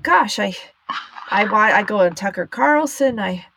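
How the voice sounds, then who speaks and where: A woman's voice: medium pitch, expressive, a little slow, gravelly, fairly bright tone, slight background noise. A woman playing a downcast, rambling male political aide ordering at a drive-through in a comedy sketch, with a hesitant manner. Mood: despondent.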